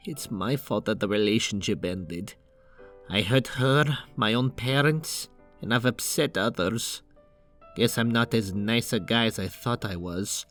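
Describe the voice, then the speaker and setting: A man playing an anxious, guilt-ridden younger-brother plumber in an animated comic dub, sighing and putting himself down. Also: mid-range voice. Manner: sighing, self-deprecating.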